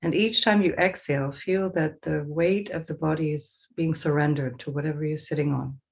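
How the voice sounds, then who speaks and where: A woman leading a guided meditation as a teacher, giving slow, soothing instructions with pauses. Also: deep voice, steady tone, creaky.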